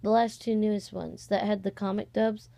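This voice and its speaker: Creaky-voiced teenage girl rambling casually. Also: mid-range voice, flat delivery, normal speed, mellow. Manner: informal, hesitant and rambling, frequent "like" asides. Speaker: amateur voice-acting channel creator. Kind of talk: casual rambling vlog announcement. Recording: cheap, slightly distorted microphone.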